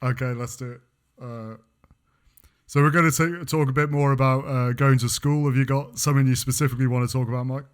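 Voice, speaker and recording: A man's voice: medium pitch, steady tone, brisk, clear, resonant tone. A man from England co-hosting a podcast, chatting casually and rambling with restarts and self-mocking jokes. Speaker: podcast co-host. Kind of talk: casual chat.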